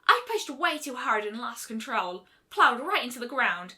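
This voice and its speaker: A woman's voice: fairly high pitch, sing-song, normal speed, pushed and bright, heavily acted. A woman voicing a brash, stubborn young female pegasus pony in a story reading, blunt, emphatic and slangy. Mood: rueful.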